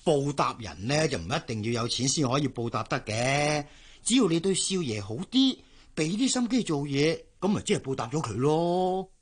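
A man with a Hong Kong Cantonese accent playing a loyal old servant in a radio drama, husky, fatherly and moralising. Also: fairly high pitch, sing-song, normal speed. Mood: admonishing. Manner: avuncular, sighing, proverb-quoting.

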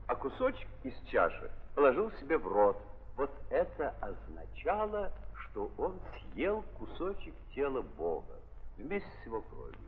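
A man's voice: medium pitch, husky. Theatrical man narrating, tinny old recording, sweeping intonation. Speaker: narrator of a stage-based radio play. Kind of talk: 1930s theatrical literary narration. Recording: scratchy, tinny 1930s archive recording with hiss.

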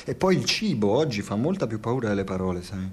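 An actor playing a sardonic, neurotic Italian expatriate in a radio drama, speaking in a halting, digressive monologue with an Italian accent. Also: male voice, on the low side, big pitch swings, darkish tone, breathy edge. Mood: indignant, mocking. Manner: halting, digressive, swearing, swings from muttering to exclaiming. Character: bitter, rambling precarious Italian expatriate man, sardonic and neurotic.

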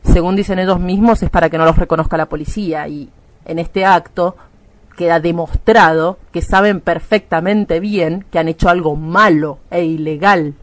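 A smug, disapproving Argentine commentator acted by a man in a radio satire. His voice sits quite high and is recorded dry and close. He explains things primly, adding fussy little asides. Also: no room sound, lightly acted. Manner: prim, didactic, fussy asides. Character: smug prejudiced man solemnly warning listeners about picketers.

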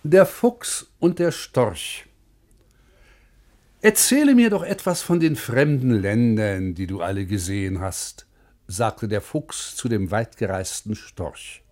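A man reciting verse, fables and old texts in a declamatory, measured 1950s German radio style. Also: on the low side, animated, a little mellow. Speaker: reciter in a literary radio programme.

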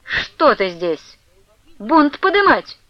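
A woman's voice: a little high, very animated, takes it slowly, no echo, highs cut off. A woman voicing a bold young woman in an audiobook, stern, cold and majestic as she gives orders. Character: bold, haughty young convent woman taking command.

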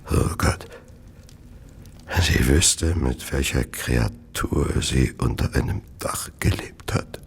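An actor playing an ailing, remorseful murderer in a radio drama, confessing wearily in a gravelly, whispery voice. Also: male voice, extremely low pitch, full character voice. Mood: despairing. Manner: slow, weary, tormented confession.

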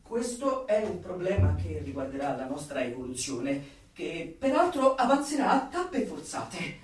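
An actor in a radio drama playing a fanatical, grandiose party intellectual who declaims emphatically and swings into exalted outbursts. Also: male voice, fairly high pitch, very animated, unhurried, recorded from a distance. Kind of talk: theatrical radio drama acting. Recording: very echoey room, off the mic.

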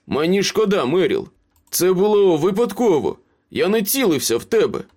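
Man acting out a high-pitched, frantic, cowardly traitor. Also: very animated, normal speed, darkish tone. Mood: guilty, pleading. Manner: frantic, gasping pleas and protests. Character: wounded, cowardly young male traitor, pleading and defiant.

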